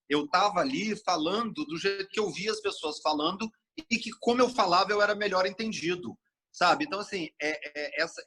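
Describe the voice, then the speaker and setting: A middle-aged man from Brasília talks through his career as an interview guest, sweeping and lively, slipping into other people's voices as he tells stories. His voice is bright and forward, with a slightly husky edge, and sits a touch high for a man. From Brasília, Brazil. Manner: expansive, animated storyteller, acts out quoted speech. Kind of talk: casual interview talk.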